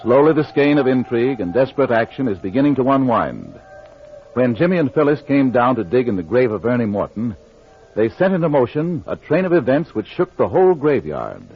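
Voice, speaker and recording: A man's voice: mid-range voice, very animated, a little fast. A man narrating a vintage radio mystery serial in an ominous, theatrical, melodramatic style. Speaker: announcer narrator. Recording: dull vintage radio recording. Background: faint music.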